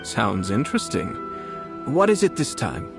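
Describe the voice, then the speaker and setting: Calm, refined young nobleman. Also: fairly low pitch, gravelly, over music, heavily acted. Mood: interested. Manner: polite, measured, composed. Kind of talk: video game character acting.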